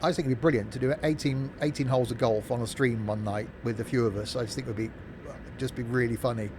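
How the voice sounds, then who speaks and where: Chatty male streamer over clear background noise. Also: steady tone, quick pace. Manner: informal, jokey, chatty asides. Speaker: live streamer playing a train simulator and chatting with viewers. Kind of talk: casual live game commentary, replying to chat. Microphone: streaming microphone through a mixing desk. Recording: constant background noise, vehicle rumble.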